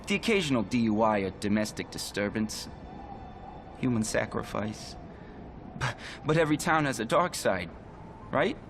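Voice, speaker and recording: A man acting as a rattled small-town American in a video game, telling of horrors dramatically and haltingly, with music behind. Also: fairly low pitch, expressive. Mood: wry.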